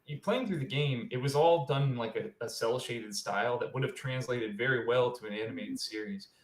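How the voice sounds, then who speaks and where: A man's voice: medium pitch, a little fast. A man co-hosting a podcast in casual conversation, recorded with a roomy echo. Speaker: podcast co-host. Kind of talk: casual chat. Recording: echoey, roomy voice pickup.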